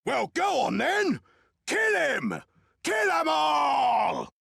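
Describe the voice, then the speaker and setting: Gravelly, bellowing villain soldier. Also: male voice, extremely high pitch, huge pitch swings, extremely slow, a bit bright, no room sound, raised voice, studio quality, heavily acted. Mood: bloodthirsty. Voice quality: rough, gravelly. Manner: boastful, bellowing. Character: brutish gloating imperial soldier, vengeful and bloodthirsty. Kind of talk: theatrical video game villain acting.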